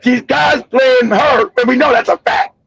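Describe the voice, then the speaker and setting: High, gravelly man ranting loudly. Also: steady tone, unhurried, highs cut off, slight background noise. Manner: loud, profane, mocking ranting. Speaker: show host. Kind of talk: heated commentary rant.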